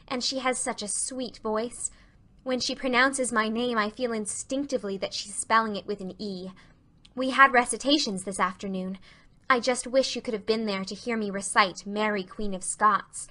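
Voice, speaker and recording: A woman's voice: fairly high pitch, pushed and bright. A woman voicing an earnest, romantic, effusive schoolgirl in a dramatized audiobook, with gushing, dramatic delivery.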